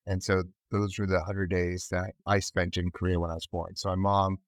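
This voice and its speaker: A man's voice: low-pitched, level tone, moderate pace, no room sound, studio quality. A middle-aged man with a Californian American accent, co-hosting a podcast and telling personal stories conversationally. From Los Angeles area, United States. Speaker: podcast co-host.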